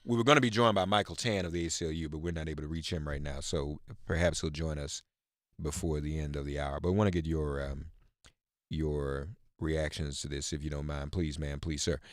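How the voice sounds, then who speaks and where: A man hosting a live political call-in radio show, halting and emphatic, repeating words for stress as he reads news and talks with callers. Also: extremely low pitch, fairly even intonation, no room sound, studio quality.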